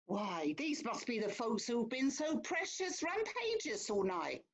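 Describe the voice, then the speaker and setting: A woman's voice: on the high side, sing-song, a little slow, slightly rough, exaggerated voice. A woman playing a chatty, deferential but cheeky police sergeant in a theatrical comedy reading. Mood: gossipy. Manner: garrulous, respectful, drily teasing.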